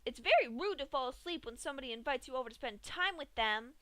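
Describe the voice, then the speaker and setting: A woman's voice: high-pitched, expressive, fast talker, pushed and bright, no room sound, pitched above the performer's own voice. Woman putting on a chirpy psychotic pink pony. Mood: pouty. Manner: chirpy, sing-song, unbothered. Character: cheerful sadistic female pink pony, bubbly.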